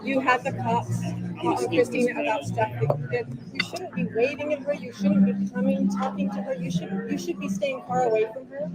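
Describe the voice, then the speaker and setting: A woman's voice: high voice, moderate pace, low-quality recording. A woman arguing insistently and repeating herself, caught on a video recording. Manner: insistent, repetitive, confrontational. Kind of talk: heated argument caught on video. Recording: loud music over a video clip. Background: loud music.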